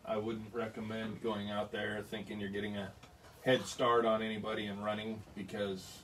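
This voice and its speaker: A man's voice: fairly low pitch, level tone, moderate pace, fairly bright tone. Guy cohosting a chill stream, joking around, sounds far from the mic w some noise. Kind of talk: casual livestream chat.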